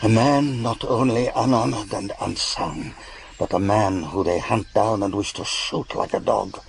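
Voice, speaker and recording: An actor in a radio drama playing a grandiose, paranoid aristocratic villain with a pompous, gravelly delivery. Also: male voice, fairly low pitch, unhurried, slight background noise. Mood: grandiose, brooding. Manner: pompous, self-aggrandizing.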